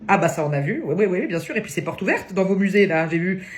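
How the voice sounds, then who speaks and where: A woman's voice: fairly low pitch, expressive, fast, close to an everyday voice. A woman voicing a brash, crude middle-aged aunt from the provinces, ranting and teasing down the phone in a comedy sketch. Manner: loud, crude, teasing, one-sided phone rant.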